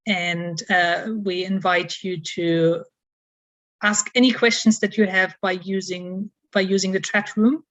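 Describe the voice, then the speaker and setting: A woman moderating an online panel, speaking haltingly with many fillers. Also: on the low side, fairly even intonation.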